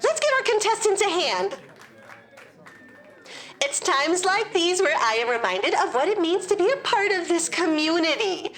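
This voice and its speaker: A woman acts out a haughty lady mayor for an audio comedy. Her voice sits high and swings widely. She is theatrical, fussy and snobbish in every phrase. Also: very animated, normal speed, a bit bright, full character voice. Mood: falsely gracious. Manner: theatrical, snobbish, gushing falsely in public. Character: haughty, fussy female town mayor, disdainful of pets. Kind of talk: comedic audio drama acting.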